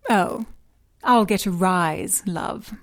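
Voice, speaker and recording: An actress playing a cold, seductive scientist in an audio drama, speaking with clinical precision and teasing condescension. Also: female voice, medium pitch, takes it slowly. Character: cold, mocking, seductive female scientist in charge of experiments. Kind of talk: audio drama acting, sci-fi noir.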